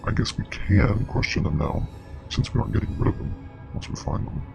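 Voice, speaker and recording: A man playing a grave, knowing investigator and parasite expert in a scripted web horror drama, explaining in a measured, clinical way with a breathy, gravelly voice. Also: lowish voice, lively intonation, dull audio. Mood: clinical, ominous. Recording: music playing behind.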